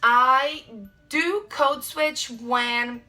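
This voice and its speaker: A woman's voice: high-pitched, extremely slow, pushed and bright, faint music behind. A young woman in her twenties with a Brazilian Portuguese accent, chatting casually to camera in her bedroom, with lots of ums and likes. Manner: chatty, many ums and likes, gestures a lot. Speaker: vlogger. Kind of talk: casual to-camera vlog answering tag questions. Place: small bedroom indoors. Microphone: camera mic at close framing.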